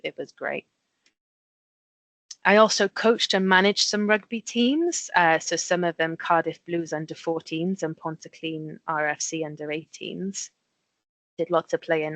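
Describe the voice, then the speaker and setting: Woman presenting to kids, low voice. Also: fairly bright tone, dry recording. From Wales. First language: Welsh. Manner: chatty, simple explanations with frequent ums. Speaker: engineer giving a talk. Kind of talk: friendly presentation to schoolchildren.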